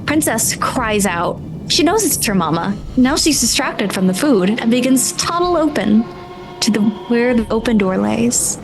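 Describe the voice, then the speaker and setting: A woman's voice: on the high side, lively intonation, moderate pace, over music. A woman working as game master, improvising dramatic, vivid narration for a tabletop roleplaying game.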